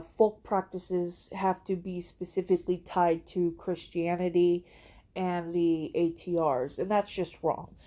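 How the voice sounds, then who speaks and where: A woman's voice: lowish voice, mellow. Congested woman hosting a chat. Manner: chatty, frequent "okay?" tag questions. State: congested, stuffy nose. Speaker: host. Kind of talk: casual educational monologue.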